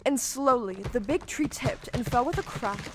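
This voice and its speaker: A woman's voice: a little high, animated, normal speed, very noisy. A woman giving scripted storybook narration as the storyteller of an audio play. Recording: music under the voice.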